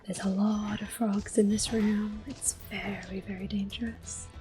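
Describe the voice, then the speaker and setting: A woman giving hushed, unhurried ASMR commentary on a video game as she plays it, speaking in soft whispers. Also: medium pitch, little pitch movement, faint music behind. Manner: hushed, unhurried narration of own play. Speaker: ASMR gameplay host.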